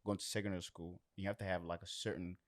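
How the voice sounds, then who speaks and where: A man's voice: deep voice, little pitch movement, quick pace. A man telling stories casually as a podcast guest, emphatic and conversational, often circling back over his words. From Suriname or abroad, later moved away. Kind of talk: casual storytelling chat.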